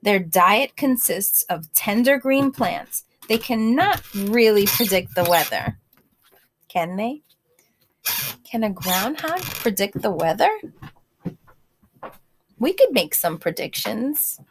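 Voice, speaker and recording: A woman's voice: mid-range voice, very animated, slow pace, pauses often, noisy background. A woman reading a picture book aloud to young children, expressively, with conversational asides and questions to the listeners.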